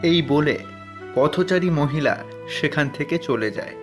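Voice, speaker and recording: A man's voice: fairly high pitch, animated, slow. A man narrating a scripted cartoon story as the narrator. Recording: music behind the voice.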